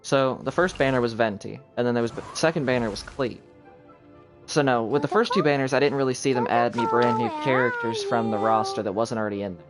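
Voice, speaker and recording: A man giving casual live commentary while streaming a video game. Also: mid-range voice. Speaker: game livestreamer. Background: video game music.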